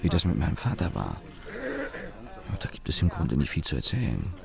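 A sardonic young engineer in a radio drama. His voice is very low and gravelly, with lively intonation. He tells his story wryly and deliberately, pausing and correcting himself as he goes. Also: a crowd in the background, heavily acted. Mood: wry, reflective. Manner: wry, deliberate, with pauses and self-corrections. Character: young engineer, sardonic company employee recalling his father. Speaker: narrator and lead actor. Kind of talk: German radio play monologue, reflective storytelling.

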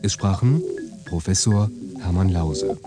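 A man's voice: low voice, unhurried. A man reading out radio drama credits as a formal announcer. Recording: loud music behind. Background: loud music.

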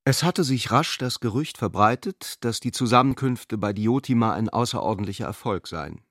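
A man narrating a literary radio drama in a measured, articulate way. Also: medium pitch, fairly bright tone, no echo, clean studio sound.

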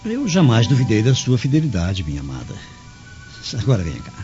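An actor playing a wheedling, amorous Roman husband in a radio drama, placating and coaxing. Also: male voice, fairly low pitch, wildly swooping pitch, fairly quick, warm tone. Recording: muffled lo-fi radio drama, music underneath.

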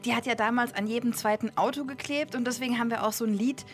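A woman's voice: fairly high pitch, steady tone, quick pace, soft background music, lightly acted. A woman playing a dry, wry band member who explains things casually in a satirical radio drama interview. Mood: explaining.

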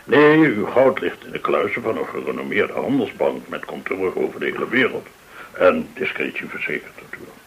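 A man acting in a radio play as a smooth, discreet broker of shady gold deals, formal, courteous and cautioning. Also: low voice, sing-song, moderate pace, full character voice. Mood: smooth assurance. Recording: hissy, noisy old radio recording.

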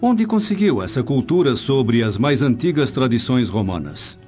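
A man's voice: mid-range voice, big pitch swings, normal speed, a little mellow, close to an everyday voice. An actor playing an authoritative Roman nobleman in a radio drama, speaking formally and with curiosity. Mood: impressed. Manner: lordly, formal. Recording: dull lo-fi audio with music.

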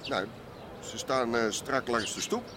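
A man's voice: mid-range voice, unhurried, bright, forceful tone, slight background noise. An actor playing a hustling strip club doorman in a radio drama, with a patter for customers and a deferential manner to his boss. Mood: nervous, sheepish. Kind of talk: Dutch radio drama acting.

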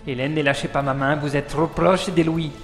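A man's voice: on the high side, fairly even intonation, normal speed, lightly acted. An actor playing an anxious, courteous man in an audio drama, fretful and easily alarmed. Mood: panicked. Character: anxious, courteous man, frightened companion of a heroine. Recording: echoey long reverb, faint music.

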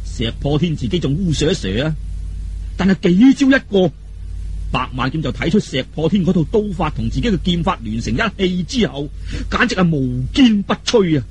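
A Cantonese man narrates a martial arts story in the old storytelling manner. His voice sits high and swings widely in pitch, colloquial and dramatic. A noticeable hum and noise run behind him. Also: moderate pace. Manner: animated, colloquial, dramatic telling with exclamations.

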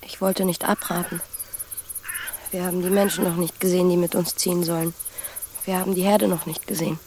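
A woman's voice: on the low side, little pitch movement, a little fast, dark tone, slight background noise, lightly acted. A woman playing a hesitant, thoughtful young woman in a literary radio drama. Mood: hesitant, pensive.

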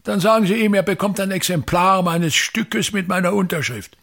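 An actor playing a vain, embittered older theatre actor and playwright in a radio play, domineering and declamatory, with an Austrian accent. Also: male voice, high-pitched, normal speed, gravelly, a bit bright, exaggerated voice. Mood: grandiose. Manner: theatrical, declamatory, haranguing, repeats words.

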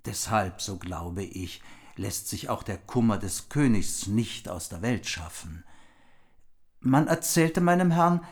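An actor playing a noble, loyal knightly envoy in a radio drama, speaking formally and earnestly. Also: male voice, fairly low pitch, expressive, takes it slowly. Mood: solemn, grave. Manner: formal, solemn.

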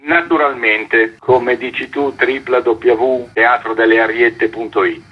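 A man being interviewed on radio as a theatre organiser, explaining a programme and pausing to find his words. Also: medium pitch, steady tone, slightly rough, narrow, tinny audio.

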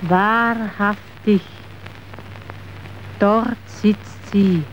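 An actress playing an earnest, apprehensive young woman in a radio drama, grave and deliberate in delivery. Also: medium pitch, steady tone. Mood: apprehensive, gentle. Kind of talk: scripted radio drama acting. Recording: dull, faintly hissy old radio recording.

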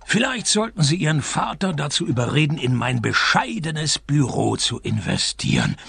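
A man playing a deadpan, sarcastic, world-weary private detective in a radio crime comedy. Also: fairly high pitch, normal speed, gravelly, slightly rough, no echo, exaggerated voice. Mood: dry. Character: dry, sarcastic, world-weary male private detective narrating his case. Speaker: lead character and narrator. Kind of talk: German-language radio comedy crime play narration.

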